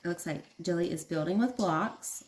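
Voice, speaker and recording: A woman's voice: fairly low pitch, normal speed, very noisy. A woman teacher reading aloud to young children, explaining things simply and asking them questions as she goes. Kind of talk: children's read-aloud lesson.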